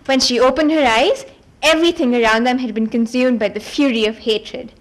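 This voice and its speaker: A woman reading a fantasy novel aloud at a book event, in an expressive storybook manner with the odd stumble over words. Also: high-pitched, brisk.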